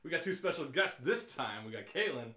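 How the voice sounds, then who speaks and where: A man's voice: medium pitch, sing-song, a little fast, pushed and bright. A man hosting a casual, unrehearsed live show, talking and reading aloud in a rambling, jokey way. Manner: rambling, self-interrupting, jokey. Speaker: show host. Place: indoors in an office. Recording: roomy, echoey office.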